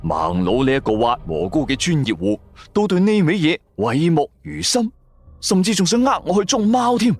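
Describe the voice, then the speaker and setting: Man, Cantonese accent, audio drama narrator, colloquial, dramatic, lively intonation, faint music bed. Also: on the high side, moderate pace, no room sound. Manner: colloquial, expressive storytelling. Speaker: storyteller performing an audio drama. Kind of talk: dramatic first-person scripted story narration. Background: background music.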